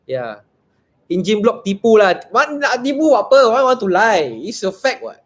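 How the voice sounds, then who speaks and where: A man's voice: sits high, big pitch swings, normal speed, a bit bright. A Singaporean man in his thirties co-hosting a casual live Q&A, reading out comments in colloquial speech mixed with Malay slang. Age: 30s. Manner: colloquial, code-switching with Malay slang, often laughing. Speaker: livestream co-host, motorcycle vlogger.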